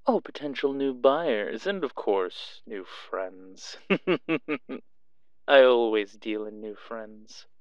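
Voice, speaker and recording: Man acting as theatrical shady dealer, male, unhurried purring drawl, coy, prying, audio drama, close dry slightly muffled sound. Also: mid-range voice, animated, dry recording. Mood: coy, amused.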